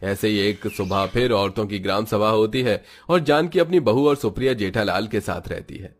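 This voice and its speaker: A man's voice: on the low side, moderate pace, slight background noise. A man narrating a scripted Hindi cartoon story.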